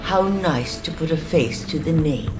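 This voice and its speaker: Formal, low-voiced attendant. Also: female voice, expressive, unhurried, over music, low-quality recording. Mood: coolly formal. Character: formal, deferential attendant to a villain lord. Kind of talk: audio drama acting.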